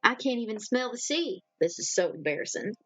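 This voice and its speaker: A woman voicing a gentle, confused ghost who speaks in a slow, halting, polite and timid way. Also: fairly high pitch, sing-song, dry recording, faint music behind. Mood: embarrassed.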